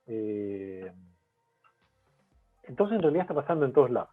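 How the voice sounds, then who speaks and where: A man from Chile with a Chilean Spanish accent, a guest expert in a webinar interview who explains his points in a lively way and poses rhetorical questions. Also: mid-range voice, moderate pace. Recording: tinny phone-like line, faint music.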